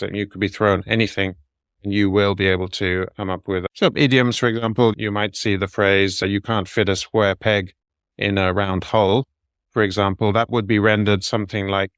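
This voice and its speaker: A middle-aged man answering interview questions in a measured, explanatory way, laying out his advice point by point. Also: lowish voice, fairly bright tone, smooth, dry recording, studio quality. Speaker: guest, medical translator.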